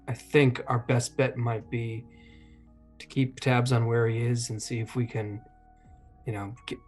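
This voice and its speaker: Measured man roleplaying at a game table. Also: medium pitch. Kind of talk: casual improvised roleplay.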